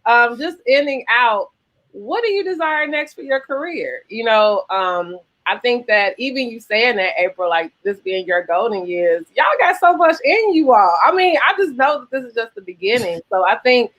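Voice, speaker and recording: A woman's voice: on the high side, big pitch swings, moderate pace, a bit bright, no room sound, poor audio. A woman hosting an interview in an informal, encouraging, chatty way. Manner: informal, encouraging, uses y'all. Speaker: interview host. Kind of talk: casual interview chat.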